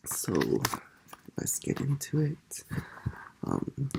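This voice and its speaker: A soft-spoken tarot reader with a stuffy, congested voice drifts from thought to thought in a relaxed card reading. Loud background noise and the clicks of shuffled cards fill the recording. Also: medium pitch, drawn-out words, gravelly. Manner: soft-spoken, meandering, musing aloud.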